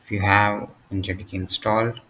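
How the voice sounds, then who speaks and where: A man narrating a step-by-step software tutorial in short, halting phrases. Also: lowish voice, flat delivery, a little hiss or hum, poor audio. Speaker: tutorial presenter.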